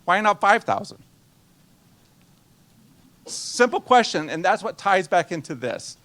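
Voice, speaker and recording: A man's voice: high-pitched, sing-song, a little slow, bright, forceful tone. A man in his sixties, from Guatemala and a native Spanish speaker, giving a conversational finance seminar, quizzing his audience and slipping in dry jokes. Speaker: nonprofit finance presenter.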